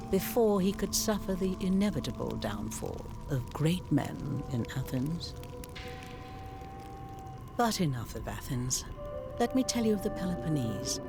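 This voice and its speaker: A man playing a Greek storyteller who narrates a history of wars in a measured, dramatic way for a game. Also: fairly high pitch.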